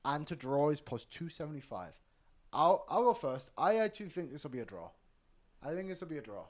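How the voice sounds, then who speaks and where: A man hosts a football podcast and chats casually while running through betting odds. His voice sits fairly high. He talks with a very animated, swinging pitch. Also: brisk. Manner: lively, reads out betting odds. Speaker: podcast host. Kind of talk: casual sports podcast chat.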